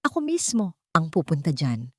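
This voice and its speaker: A woman's voice: low-pitched, wildly swooping pitch, moderate pace, dark tone, dry recording, studio quality, full character voice. A woman voicing a cold, powerful old tycoon father in an audio love story, speaking with menacing authority. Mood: threatening.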